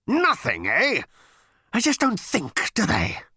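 Huge dim blustering war robot. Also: male voice, a little high, huge pitch swings, creaky, sharp tone, no room sound, clean studio sound, pitched above the performer's own voice, heavily acted. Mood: blustering fury. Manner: bellowing, slow on the uptake. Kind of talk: audiobook character voice.

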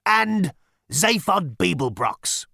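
A man voicing a brutish male alien ship captain who croaks and rumbles in slow, grim lines, in an audiobook reading. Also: no echo, clean studio sound, heavily acted. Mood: gruff. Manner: slow, flat, gravelly delivery.

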